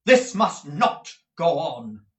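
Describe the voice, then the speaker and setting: Brief: a man doing a fiery young hero in a storybook reading, raised and bright, forceful and indignant, in a roomy echo. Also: on the high side, normal speed, pitched above the performer's own voice, close to an everyday voice. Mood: defiant. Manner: passionate, loud, forceful declaiming.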